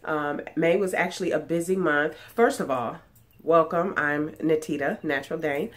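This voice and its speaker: A low-pitched woman speaks in a nasal, stuffed-up voice because of a cold. She rambles in a chatty way, full of ums and false starts, as she talks to a webcam in a small room at home. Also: moderate pace. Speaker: sewing vlogger. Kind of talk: casual chat. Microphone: webcam at desk distance.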